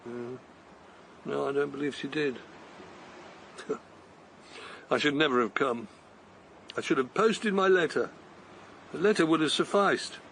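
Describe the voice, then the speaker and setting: A man's voice: on the high side, slow, gravelly, long pauses, fairly bright tone, a little hiss or hum, heavily acted. An actor playing a weary older man on a long walk, speaking in a hesitant, stammering, apologetic way. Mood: defeated. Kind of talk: radio drama acting.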